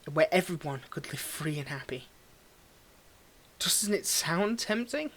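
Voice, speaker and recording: A man acts out a domineering villain in an intimate audio drama, his voice pitched a little above the usual male range. He is cold and condescending with a secretly fond streak, delivering his monologue theatrically, as if right up against one listener. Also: lively intonation, measured pace, exaggerated voice. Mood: persuasive, idealistic. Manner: condescending, theatrical, swinging between ranting and hushed murmurs. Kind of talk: scripted audio roleplay monologue, intimate address.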